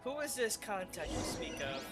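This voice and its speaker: A man's voice: high voice, big pitch swings, normal speed. A man giving casual commentary and reactions as he streams a video game. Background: game music.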